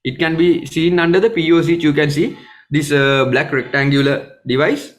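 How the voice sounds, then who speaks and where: Male technical trainer, Sri Lankan accent, slightly high voice, explanatory webinar delivery with halting phrasing. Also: fairly even intonation. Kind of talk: live webinar training presentation.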